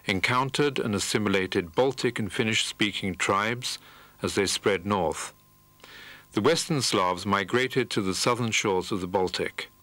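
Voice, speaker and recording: A man narrates an educational documentary from a script. His voice sits a little low but rings bright and forceful, recorded dry and close in a studio. He keeps the delivery measured and formal. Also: no room sound. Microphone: studio voice-over.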